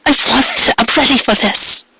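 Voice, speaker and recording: Man putting on a high-pitched pleading female pony. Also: normal speed, gravelly, pushed and bright, husky, heavily acted. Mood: pleading, yearning. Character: earnest female cartoon pony yearning for a child.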